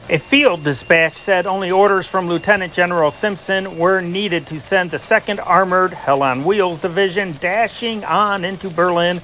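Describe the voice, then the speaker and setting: A man's voice: high voice, sharp tone, a little hiss or hum. A man reading scripted news dispatches in a formal, declarative newscast manner, punching the datelines. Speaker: narrator reading old newspaper dispatches.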